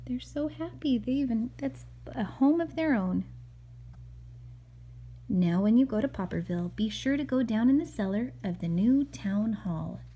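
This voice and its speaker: A woman's voice: a little high, lively intonation, a little slow, breathy edge, dull audio. A woman reading a picture book aloud to a child as a mother, expressively, with chatty asides and questions.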